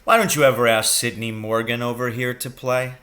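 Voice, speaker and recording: A man's voice: mid-range voice, fairly even intonation, fairly quick, sharp tone, close to an everyday voice. A man voicing a frank, affectionate father in a storybook, plain-spoken and emphatic. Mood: encouraging.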